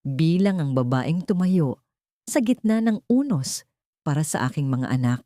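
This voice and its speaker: A woman voicing a remorseful, pleading father in an audiobook drama, gentle and halting in manner. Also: deep voice, animated, dry recording, clean studio sound. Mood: earnest pleading. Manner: soft, halting, emotional.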